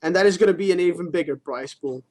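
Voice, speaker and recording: A man's voice: fairly high pitch, fast, a little mellow. A man co-hosting a gaming talk show, explaining news in a casual, informal way.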